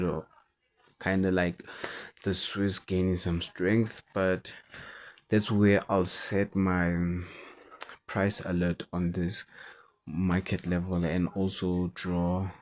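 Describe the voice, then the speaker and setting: A man who is not a native English speaker, talking through forex charts in a halting way and repeating words as he goes. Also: deep voice, a little mellow. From South Africa. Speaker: forex trader. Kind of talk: chart analysis commentary.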